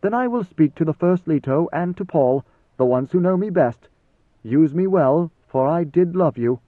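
A man's voice: fairly high pitch, lively intonation, moderate pace, dry recording, pitched above the performer's own voice, lightly acted. A blunt and wary veteran swordsman, played by a male reader, loyal but defiant, firing off short, challenging questions in a lifted register. The audio is narrow and phone-like, with no room sound at all. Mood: resolute. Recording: thin phone-like dry sound.

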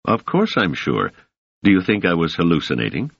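A man's voice: low-pitched, wildly swooping pitch, moderate pace, gravelly, a bit bright, no room sound, full character voice. A man reading a defensive, tired male scholar. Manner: close to the reader's own voice. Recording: dull, dry, lo-fi sound.

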